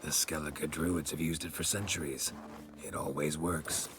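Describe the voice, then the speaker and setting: A man with an American accent playing a gruff, stoic, terse monster hunter in a fantasy video game. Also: fairly even intonation, normal speed, heavy background noise. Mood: dry, calm. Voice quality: very deep, husky, gravelly voice. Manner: terse, dropped words, understated. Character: gruff, stoic middle-aged monster hunter, protective mentor. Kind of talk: video game cutscene acting.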